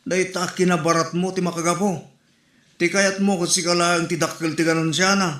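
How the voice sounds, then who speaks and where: A man's voice: high voice, level tone, normal speed, fairly bright tone. A man with an Ilocano accent playing a teasing, advice-giving older father in a comedy audio drama. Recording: roomy echo, music underneath.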